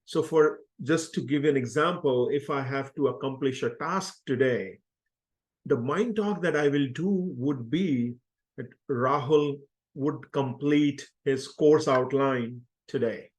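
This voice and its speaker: A man speaking as an interview guest, explaining self-help ideas in an earnest, deliberate way and repeating key phrases. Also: mid-range voice.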